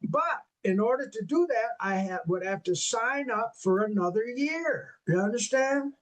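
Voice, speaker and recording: A man's voice: very high-pitched, normal speed. An older man from western New York, a jazz saxophonist, telling stories in an interview and acting out the dialogue. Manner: animated, acts out quoted dialogue, peppers speech with "man". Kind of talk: storytelling in an interview.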